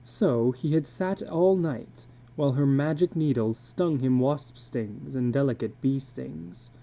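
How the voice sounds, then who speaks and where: A man narrating literary fiction as a dramatic reading, in a measured, deliberate way. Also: fairly high pitch, mellow.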